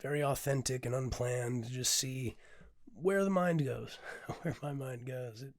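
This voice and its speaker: A hoarse man hosting his own podcast, talking candidly and unpolished with many ums, swearing freely, sometimes dropping to a hush, and reading his poetry. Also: medium pitch, measured pace, no room sound, studio quality. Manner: drops to a hush at times. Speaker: podcast host and poet. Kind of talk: casual reflective monologue with poetry reading.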